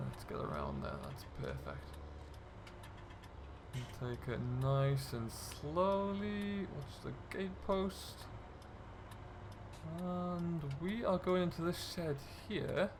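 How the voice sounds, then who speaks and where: A British man hosting a farming game role-play in casual commentary, chatting and thinking aloud as he works. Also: fairly high pitch. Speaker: gaming video host playing a farm contractor. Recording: clear background vehicle and ambient noise.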